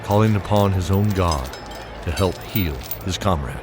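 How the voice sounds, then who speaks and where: A man narrating a fantasy audio drama in a measured, scripted way. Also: sits low. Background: dramatic music score.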